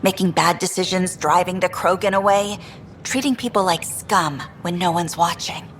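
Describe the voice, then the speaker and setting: A woman's voice: fairly low pitch, moderate pace, slight background noise, natural voice. An actress playing a frustrated female station worker who vents with exasperation and dry humor. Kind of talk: video game dialogue.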